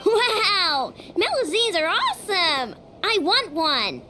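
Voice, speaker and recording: Excitable squeaky little companion. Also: female voice, slow pace, very noisy, heavily acted. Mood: excited. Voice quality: very high, squeaky. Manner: eager exclamations. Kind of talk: video game voice acting. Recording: game audio under loud music.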